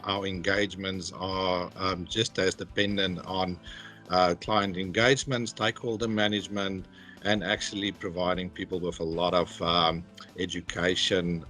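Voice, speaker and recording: A man's voice: low-pitched, a bit bright. A man working as a cybersecurity principal, talking casually in a workplace interview, hesitant with many fillers. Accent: non-Australian.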